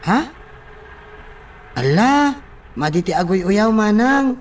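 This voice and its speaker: A woman's voice: medium pitch, lively intonation, slow pace. A woman acting a naive, timid young housemate in an Ilocano radio drama, hesitant and questioning. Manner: questioning, hesitant, surprised interjections. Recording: slightly distant mic, faint background noise.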